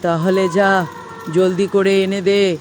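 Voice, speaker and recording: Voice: mid-range voice, level tone, slow pace, noisy background, natural voice. A performer voicing a bossy old village witch woman in a cartoon story. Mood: furious. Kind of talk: cartoon voice acting.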